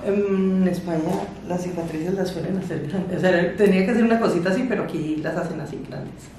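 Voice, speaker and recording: A woman's voice: fairly low pitch, brisk, warm tone, distant mic. A woman, chatting casually during a massage session. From Colombia. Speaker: massage client. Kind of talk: casual chat. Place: small room with a massage table, indoors. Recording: echoey room, off-mic, audible noise.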